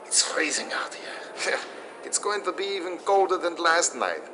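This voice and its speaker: An actor playing a chatty, grumbling soldier in a video game cutscene. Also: male voice, on the high side, sing-song, a little slow, heavy background noise.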